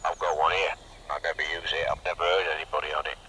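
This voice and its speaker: A man's voice: rumbling low, flat delivery, quick pace. A man chatting casually as a radio operator, heard over a two-way radio. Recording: slightly noisy CB radio reception.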